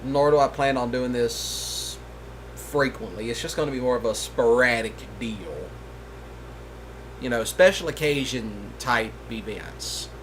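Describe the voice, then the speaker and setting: A man's voice: mid-range voice, measured pace, a bit bright, slight background noise, rough recording. Easygoing man chatting to viewers. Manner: easygoing, rambling, folksy. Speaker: content creator. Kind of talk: casual talk to viewers.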